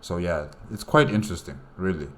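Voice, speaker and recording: A man giving casual reaction commentary, halting and thinking aloud as he repeats himself. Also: low voice. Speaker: reaction video host. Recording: noisy home recording.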